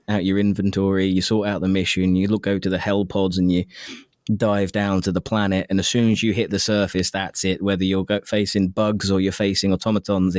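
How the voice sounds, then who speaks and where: A man's voice: low voice, no echo, clean studio sound. A man who narrates audiobooks, chatting casually as an interview guest in a self-deprecating, jokey and sometimes sweary way.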